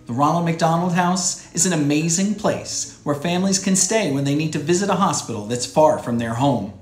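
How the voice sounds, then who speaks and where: An American man in his thirties hosting a children's read-aloud show, speaking to young viewers in clear, simple phrases as he presents and reads from a script. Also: a little high, moderate pace, roomy sound, soft background music. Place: small furnished room indoors.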